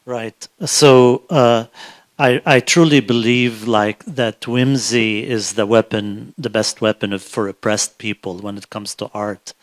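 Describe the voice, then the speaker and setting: A man from South Lebanon talks as an author at a book event. He speaks haltingly, circling back and restarting his sentences, in an easy conversational tone before a live audience. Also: lowish voice, fairly even intonation. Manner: halting, many restarts and fillers.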